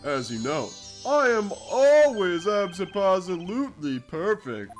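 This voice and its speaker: A smug flamboyant witness from a courtroom game, high pitched, drawn out and super theatrical. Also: male voice, sing-song, faint music behind, heavily acted. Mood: haughty. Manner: pompous, theatrical, deliberate delivery. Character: pompous, flamboyant male witness mangling grand words. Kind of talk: video game fandub voice acting.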